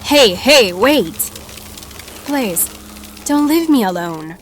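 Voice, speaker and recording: A woman's voice: a little high, big pitch swings, slow pace, slight background noise. A woman playing a plucky young survivor in an audio drama, with a wry, casual, direct way of talking. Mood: alarmed, abandoned. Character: wry, plucky young female survivor seeking to stop an apocalypse.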